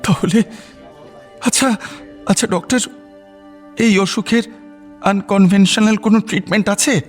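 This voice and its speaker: Music plays under a distraught husband in a radio drama. His voice sits fairly high for a man, and he pleads in halting, repeated phrases, anxious and full of grief. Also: steady tone. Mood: anxious pleading. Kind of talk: Bengali radio drama acting.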